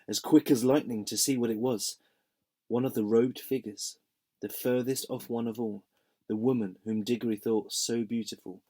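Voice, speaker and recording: A young man with a slightly hoarse, croaky voice reads a children's story aloud. His tone is gentle and friendly, low in pitch and mellow. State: slightly hoarse from a sore throat. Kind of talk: reading a children's book aloud.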